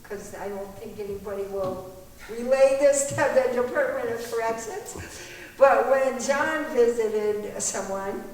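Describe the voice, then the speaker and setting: A woman's voice: fairly high pitch, unhurried, darkish tone. A woman speaking informally as a panelist, candid and reflective in her delivery. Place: echoey meetinghouse hall. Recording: echoey hall, distant mic, faint noise.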